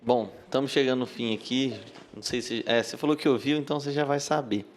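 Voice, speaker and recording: A man hosting a podcast in a casual, brisk way, steering the chat and wrapping up the episode. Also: mid-range voice, slight background noise. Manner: brisk, wraps up the show segments. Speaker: podcast host.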